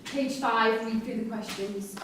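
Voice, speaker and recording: A woman's voice: a little high, steady tone, measured pace, lightly acted. A woman playing a firm, sceptical prison course tutor in a staged play reading. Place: theatre room at a staged reading. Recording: echoey hall, distant mic, hissy ambience.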